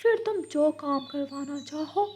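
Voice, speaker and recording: A woman's voice: high-pitched, measured pace, darkish tone, a little hiss or hum. A woman voicing a pleading, bargaining witch in a cartoon story, insistent and persuasive. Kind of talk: Hindi cartoon story dialogue.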